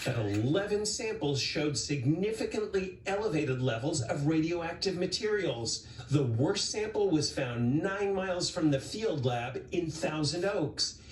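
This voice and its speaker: A male TV news reporter reads a scripted investigative story with deliberate emphasis. His voice sits a little high. The recording is very roomy, with faint noise behind it. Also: expressive, distant mic.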